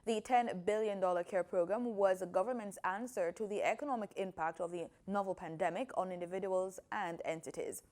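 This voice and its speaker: A woman's voice: mid-range voice, brisk, hardly any pauses, a little mellow. A woman presenting scripted news as a news reader.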